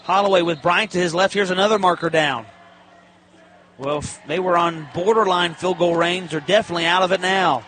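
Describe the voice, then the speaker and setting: A man's voice: sits high, brisk, background noise. A man calling college football on the radio as a play-by-play announcer, in short, clipped present-tense bursts.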